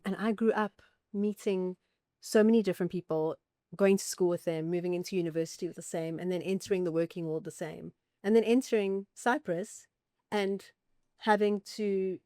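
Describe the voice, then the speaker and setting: Guest, woman in her 40s, articulate and flowing, lowish voice, dry mic. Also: normal speed, warm tone, no echo. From South Africa. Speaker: communications officer. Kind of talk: podcast conversation.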